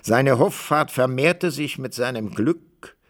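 A man narrating a literary radio play as a deliberate, rhetorical storyteller. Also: medium pitch, lively intonation.

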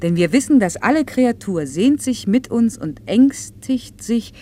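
A middle-aged woman playing a harried, strict working mother in a naturalistic German radio play, with brisk, clipped delivery. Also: a little high, animated, warm tone, slight background noise. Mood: reflective.

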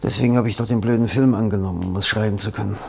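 An actor playing an ailing, exhausted middle-aged novelist, irritable and wistful, sighing and groaning in a German radio drama. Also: male voice, fairly low pitch, steady tone, very fast, vocal fry, darkish tone, husky. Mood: wistful, weary. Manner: weary, groaning, sighing, breaking into whispers.

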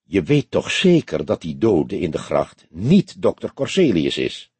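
Audiobook role: grey-haired veteran detective, weary, pensive and terse, low-pitched, played by a male narrator. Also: huge pitch swings, darkish tone, slightly rough, no echo, exaggerated voice. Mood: calm, weary. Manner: measured, pensive, terse questioning.

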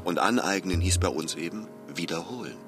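An actor playing a reflective narrator of a philosophical radio drama, thoughtful and explanatory. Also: male voice, low voice, animated, a little fast. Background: music.

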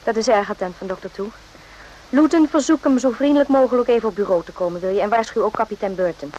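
A woman playing a guarded, correct doctor in a radio play, speaking in a measured, formal way. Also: fairly high pitch, a little mellow, muffled recording, lightly acted. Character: uneasy, firm female army doctor, correct and guarded. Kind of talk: radio drama acting with narration. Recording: noisy old radio play recording.

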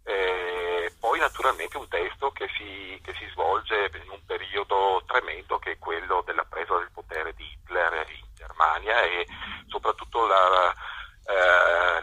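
A man being interviewed as a theatre director over a telephone line, speaking spontaneously and haltingly, repeating words as he forms his sentences. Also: deep voice, bright, forceful tone, slightly rough, dry recording. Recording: tinny, harsh telephone line.

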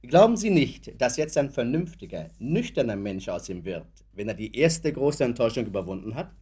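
An actor playing a sober, principled lawyer in a German radio drama, formal, reasoned and challenging. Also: male voice, medium pitch, big pitch swings, normal speed, mellow, husky. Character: sober, principled male lawyer, rational and insistent.

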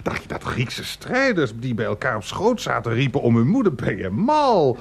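An actor in a Dutch radio play voicing a creepy older uncle who stammers, coaxes and repeats himself. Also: male voice, medium pitch, wildly swooping pitch, moderate pace, vocal fry, slightly rough, heavily acted. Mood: coaxing, nervous. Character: creepy, wheedling uncle, a childless shopkeeper.

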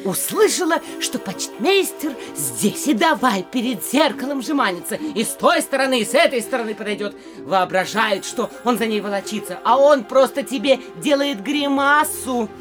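An actress playing a nosy, fretful middle-aged mayor's wife in a theatrical comedy audio play, scolding and insisting in exclamations. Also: female voice, on the high side, animated, normal speed, over music, lightly acted. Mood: scolding, sarcastic.

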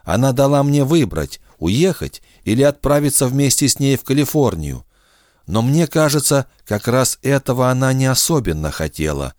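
A man's voice: medium pitch, big pitch swings, normal speed, darkish tone, no echo, studio quality, pitched above the performer's own voice, close to an everyday voice. A man voicing an earnest, pleading young woman, lifting his voice a little.

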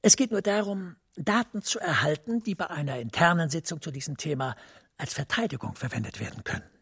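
A composed, authoritative male professor in a radio play. His fairly high voice is very husky and breathy, with a creaky edge. He speaks precisely and discreetly, as if confiding. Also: a little fast, a bit bright, no room sound, studio quality. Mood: discreet, controlled. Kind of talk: German radio drama acting.

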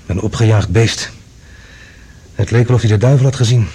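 A man's voice: on the low side, moderate pace, dark tone, slightly rough. A male actor playing an agitated, earnest man bringing alarming news in a science fiction radio play. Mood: grave, tense. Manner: urgent, earnest, dramatic.

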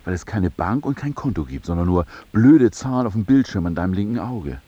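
A man's voice: low-pitched, expressive, fairly quick, warm tone, husky, no room sound, dull audio. An actor playing an irritable, boozy, suspicious man in a German radio play, speaking bluntly and impatiently in everyday colloquial language. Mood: angry ranting.

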